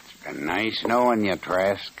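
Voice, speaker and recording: A crooked, insolent saloon manager in a radio western. His American voice is creaky with gravel and moves expressively. There is faint noise on the old recording. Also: low-pitched, expressive, measured pace, fairly bright tone, full character voice, low-quality recording. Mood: cold menace.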